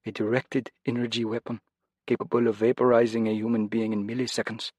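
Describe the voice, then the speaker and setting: A man's voice: mid-range voice, little pitch movement, fairly quick, darkish tone, no echo, voice pushed up, natural voice. A man voicing an anguished man freed from a demon, sobbing and screaming his pleas. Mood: urgent.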